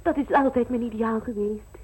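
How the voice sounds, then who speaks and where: A woman's voice: fairly high pitch, moderate pace, darkish tone, husky, poor audio. An actress in a radio play voicing a proud, ambitious young aristocratic lady with a prim manner. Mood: earnest.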